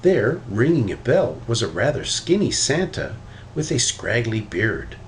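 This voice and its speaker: A man reading a children's story aloud with expressive, animated delivery. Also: mid-range voice, measured pace, slight background noise. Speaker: storybook reader.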